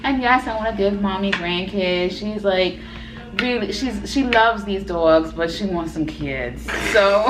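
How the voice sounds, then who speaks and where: A woman's voice: mid-range voice, takes it slowly. A woman in her thirties co-hosting a casual chat, talking in an earnest, reflective way and thinking aloud. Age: 30s. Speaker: co-host. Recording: echoey room with steady background noise.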